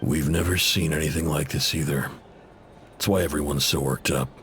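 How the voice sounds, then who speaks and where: Very deep-voiced, guarded information broker. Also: male voice, fairly even intonation, moderate pace, music in the background. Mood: guarded, informative. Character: proud, indebted male intel broker, guarded and exasperated. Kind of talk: video game voice acting.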